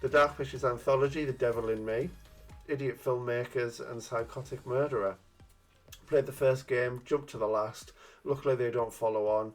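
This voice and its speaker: A man's voice: medium pitch, fairly even intonation, moderate pace, faint music behind. A man hosting a casual gaming review chat, cheeky and jokey, often laughing at his own innuendo. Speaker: gaming channel host.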